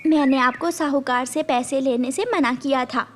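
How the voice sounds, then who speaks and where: A woman voicing a caring, gently chiding mother bird in a cartoon, affectionate and reassuring. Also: sits high, moderate pace, a little hiss or hum, close to an everyday voice. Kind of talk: cartoon voice acting.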